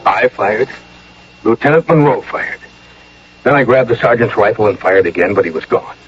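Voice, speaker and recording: A man acting a brisk, forceful army major in a radio drama, with clipped military speech. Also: lowish voice, animated, faint music behind, close to an everyday voice. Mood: sober, defensive. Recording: muffled, noisy old radio broadcast.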